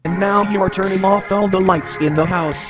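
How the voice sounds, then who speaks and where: High-pitched, muffled horror infomercial announcer played by a man. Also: fairly even intonation, lightly acted. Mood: eerily reassuring. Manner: deliberate, salesman-like address to the listener. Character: eerily reassuring male announcer of a sinister horror advert. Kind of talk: scripted infomercial narration in analog horror. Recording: muffled lo-fi playback under loud music.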